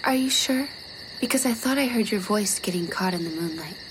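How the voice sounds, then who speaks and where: An actress playing an entranced, gently apologetic space crew member, speaking softly and vaguely in an audio drama. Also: female voice, medium pitch, animated, fairly quick, background noise, lightly acted. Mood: dreamy, apologetic. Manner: soft, vague, dreamy.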